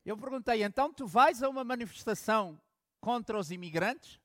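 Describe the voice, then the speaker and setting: A man from Angola who is a pastor, preaching a sermon in the European manner, telling stories and swinging from loud emphasis to hushed asides. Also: sits high, lively intonation, a little fast, no echo, studio quality.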